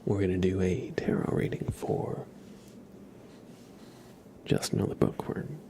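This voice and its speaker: A man hosting an ASMR livestream, chatting casually to viewers in a soft, breathy whisper. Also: low-pitched, steady tone, measured pace. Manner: soft-spoken, addressing viewers by name.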